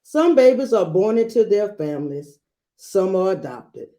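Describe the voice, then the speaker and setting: A woman reading a children's picture book aloud, adding gentle explanatory asides for young listeners. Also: mid-range voice, sing-song, a little slow. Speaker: read-aloud storyteller.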